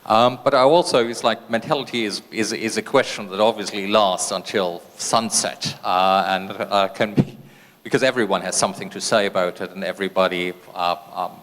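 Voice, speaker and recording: A man's voice: on the low side, a bit bright. A man moderating a seminar panel without a script, hesitant and often repeating his words. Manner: hesitant, repeats words, many drawn-out pauses. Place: large seminar hall. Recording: reverberant seminar hall.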